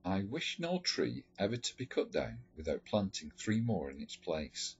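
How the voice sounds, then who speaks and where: A man reading a letter story aloud in a measured, expressive storytelling manner. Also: deep voice. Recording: dull lo-fi recording with music behind. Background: background music.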